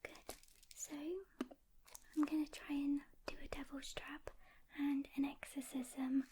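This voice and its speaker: A woman whispering a soft-spoken ASMR roleplay as a calm, caring demon hunter, gently reassuring a rookie with pauses, close to the mic. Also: high voice.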